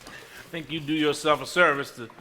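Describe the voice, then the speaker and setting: A stage actor playing a level-headed younger brother in a family, measured and admonishing. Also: male voice, a little high, fairly even intonation, pushed and bright. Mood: calm, firm. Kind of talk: live stage play acting. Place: theater stage before a live audience.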